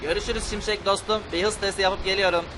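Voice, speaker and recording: Male kids' gaming host, slightly high voice, playful and exclamatory, talking over heavy game vehicle noise. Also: fairly even intonation, quick pace. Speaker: host of children's gaming channel. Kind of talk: play-acting video game commentary. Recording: loud vehicle noise behind voice. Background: game engine and vehicle sounds.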